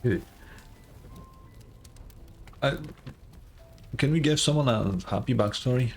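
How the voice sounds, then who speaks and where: A man's voice: mid-range voice, slow, gravelly. A man giving casual, slangy live reactions as a game streamer, thinking aloud and swearing freely. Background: faint game music.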